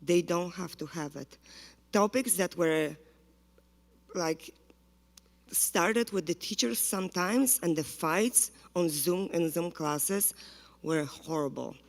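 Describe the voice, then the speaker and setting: Parent at the podium: Polish-accented woman, gravelly edge, urgent and halting, echoing meeting hall. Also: lowish voice. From Poland. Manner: earnest, pleading, halting speech. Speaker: parent giving public comment. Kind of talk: public comment at a school board meeting. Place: school board meeting room. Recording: reverberant hall.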